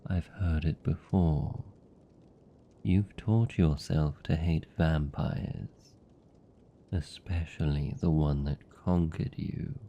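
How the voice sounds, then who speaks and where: A man's voice: a bass voice, fairly even intonation, gravelly, dark tone, dull audio, full character voice. A British man playing a seductive, possessive vampire captor in an intimate fantasy ASMR roleplay, teasing and condescending in manner. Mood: amused, teasing. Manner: slow, teasing, condescending, velvety menace.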